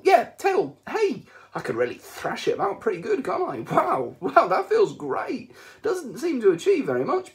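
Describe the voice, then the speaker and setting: A man's voice: sits high, a little mellow, pitched above the performer's own voice, heavily acted. A man voicing a naive, delighted newborn whale that wonders aloud and eagerly names everything around it. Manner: wondering, eagerly naming things.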